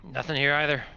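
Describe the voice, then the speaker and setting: A man's voice: a little high, creaky, pushed and bright. A man chatting casually as a player in a tabletop roleplaying game. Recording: dull lo-fi mic, faint music behind.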